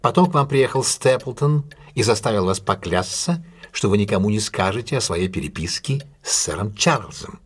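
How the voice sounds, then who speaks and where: An actor playing a confident, courteous male detective in an audio drama, speaking in a measured, deliberate and formally polite way. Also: on the low side, expressive, breathy edge. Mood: calm, probing. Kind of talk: audio drama acting, classic detective story.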